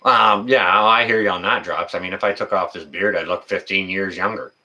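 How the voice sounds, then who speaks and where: Male, 50s, deep but forward and bright voice; folksy, rambling, unscripted livestream chatter with a halting flow. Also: fairly even intonation. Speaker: livestream host. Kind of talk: casual livestream chat.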